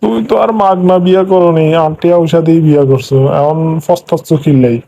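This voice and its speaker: Man putting on a high-pitched, needling old cartoon husband. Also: animated, fast talker, gravelly, dark tone, rough recording. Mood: smug, reproachful. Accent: regional Bangladeshi Bengali dialect. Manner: mocking, I-told-you-so nagging. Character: older husband, smug, reproachful, needling his wife. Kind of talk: comic cartoon dialogue.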